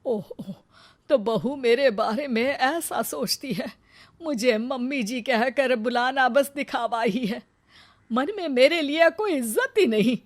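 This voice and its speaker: A woman playing a sharp-tongued, stingy older mother-in-law in a cartoon moral story. Her voice sits fairly high, with plenty of scolding and sarcasm. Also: slow pace. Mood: hurt. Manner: scolding and sarcastic, bitter asides.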